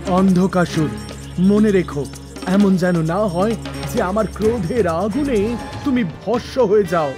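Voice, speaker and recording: A man voicing a stern, protective and wrathful god in an animated myth, with authoritative declamation. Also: sits high, unhurried, over music. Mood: stern, commanding. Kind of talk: animated mythology story acting.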